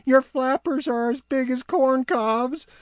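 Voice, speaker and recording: A man voicing an excitable young male elf with a high giggly voice who stammers and repeats words. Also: slow pace, clean tone, no echo. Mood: giggly, teasing.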